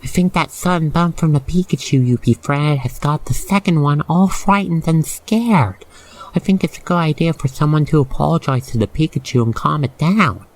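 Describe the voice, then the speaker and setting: A man with a creaky voice reading a scripted second-person ASMR roleplay story, with gentle exclamations. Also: on the high side, expressive, normal speed, poor audio. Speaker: roleplay narrator.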